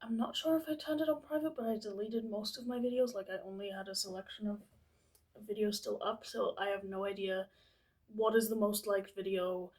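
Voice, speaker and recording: A woman's voice: medium pitch, steady tone, brisk, a little mellow. A 22-year-old woman from England, chatting casually to camera as a vlogger, rambling and hesitant with many fillers.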